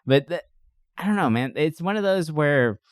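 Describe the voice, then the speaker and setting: A man's voice: a little high, animated, moderate pace, no room sound, clean studio sound. A man co-hosting a podcast in an enthusiastic, informal chat, swearing casually. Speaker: podcast co-host. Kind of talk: casual chat about movies.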